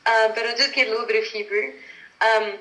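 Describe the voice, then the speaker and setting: A woman's voice: on the high side, steady tone, quick pace, sharp tone. A woman from South Africa, a guest actress telling stories in a casual interview, chatty and laughing often. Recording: echoey room.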